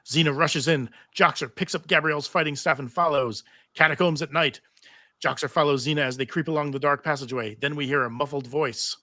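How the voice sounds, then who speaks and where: Bright-voiced man narrating a script. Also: fairly high pitch, fairly even intonation, brisk. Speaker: narrator reading stage directions. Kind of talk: scripted narration at a live table read.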